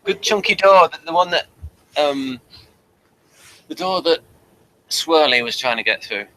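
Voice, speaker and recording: Bright-voiced British man, halting delivery. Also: fairly high pitch, animated, rough recording. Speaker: host of a TV review show. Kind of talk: casual review chat from notes.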